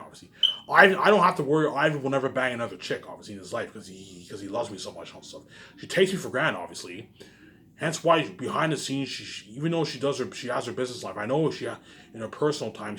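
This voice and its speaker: A man in an unscripted, repetitive and halting monologue to viewers, sounding aggrieved and letting phrases trail off quietly. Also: medium pitch, slight background noise. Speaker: online vlogger.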